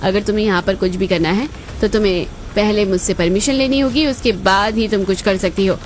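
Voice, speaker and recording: A woman's voice: mid-range voice, brisk, slight background noise, lightly acted. A woman playing a spiteful, bossy young sister-in-law in a cartoon family drama, scolding and commanding in a haughty way.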